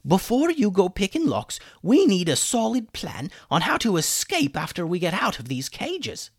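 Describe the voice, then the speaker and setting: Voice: medium pitch, wildly swooping pitch, moderate pace, husky, no room sound, studio quality, voice pushed up, exaggerated voice. A storyteller voicing a weary, sarcastic chicken wizard for a children's story. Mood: exasperated. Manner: sarcastic, exasperated. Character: mocking, weary chicken wizard prisoner with human hands.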